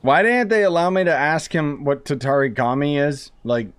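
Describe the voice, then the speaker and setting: A young male streamer with a fairly high voice. He comments on his playthrough in a casual, conversational way, joking and freely giving opinions. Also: moderate pace, smooth. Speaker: gaming streamer.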